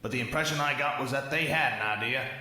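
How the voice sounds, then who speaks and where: An actor playing a gruff, treacherous army sergeant in a pulp radio drama, with a raspy voice and clipped military manner. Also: male voice, medium pitch, fast, bright, forceful tone. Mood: clipped, guarded. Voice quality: raspy, creaky.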